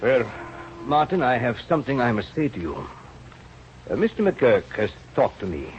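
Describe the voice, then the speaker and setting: An actor playing an old, kindly, rueful scientist, speaking in halting, formal phrases in an old radio drama. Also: male voice, medium pitch, very animated, faint music behind, close to an everyday voice. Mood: hesitant, grave. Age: older. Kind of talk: 1930s-40s radio drama acting. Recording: muffled, noisy old radio recording.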